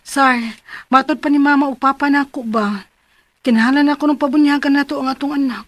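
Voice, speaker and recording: A troubled young wife , acted by a woman, pleads earnestly in a high voice with a Cebuano Filipino accent. It is radio drama delivery, part dialogue and part letter narration, over a music bed. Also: steady tone, brisk, close to an everyday voice. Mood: worried, insistent. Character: troubled pregnant young wife seeking advice.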